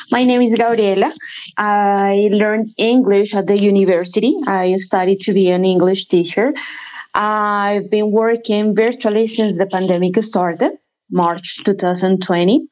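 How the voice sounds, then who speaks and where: A Colombian woman speaking slow, deliberate English on a video call. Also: mid-range voice, steady tone, a little mellow. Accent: Colombian Spanish. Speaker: virtual assistant, trained English teacher. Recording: dull lo-fi call audio.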